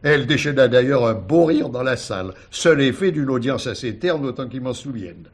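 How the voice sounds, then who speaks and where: A man's voice: medium pitch, animated, normal speed, natural voice. An actor playing an older retired judge, formal and reflective, hesitating as he recounts his doubts in a radio play. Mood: reflective, hesitant. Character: thoughtful retired judge uncle haunted by doubt.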